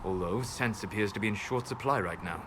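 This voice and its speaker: A man playing a calm, scholarly wandering mage in a fantasy game, measured and precise. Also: fairly low pitch, close to an everyday voice. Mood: calm, dry. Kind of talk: dramatic fantasy game acting. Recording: clearly noisy outdoor game ambience.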